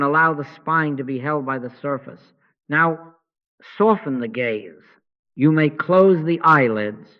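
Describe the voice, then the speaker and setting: Hushed man guiding a slow meditation. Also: medium pitch, dull audio. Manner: slow, hushed, gently instructive. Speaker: meditation guide.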